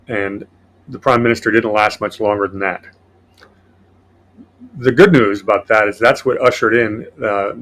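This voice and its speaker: A man with a fairly low voice hosts a casual political podcast. He works through his notes and reads them aloud, and his delivery stays dry and sardonic. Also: a bit bright. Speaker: podcast host.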